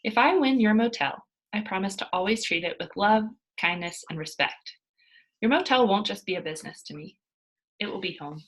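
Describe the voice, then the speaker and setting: A woman's voice: medium pitch, animated, normal speed, natural voice, poor audio. A woman voicing an earnest young girl reading out her heartfelt essay in a storybook, sincere and emphatic. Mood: earnest, hopeful.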